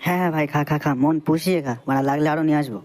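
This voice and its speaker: A man's voice: a little high, racing, a little mellow, lightly acted. A man voicing a generous but wary young male buddy in a crude comedy cartoon, blustery and slangy, often repeating himself.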